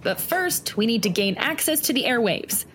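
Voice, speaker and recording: An actress playing a stubborn, quippy swashbuckling heroine in an audio drama, with a theatrical manner. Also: female voice, a little high, sing-song, normal speed, a bit bright, slight background noise. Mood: confident, wry.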